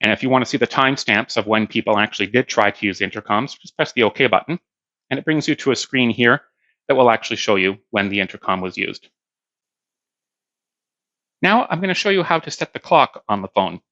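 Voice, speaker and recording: A man with a fairly low voice and a crisp, forceful edge gives an instructional product demo. He explains each step plainly and practically. The sound is very dry and a little band-limited. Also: expressive, a little fast, no room sound, highs cut off. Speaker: product demonstrator. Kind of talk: hands-on instructional demonstration.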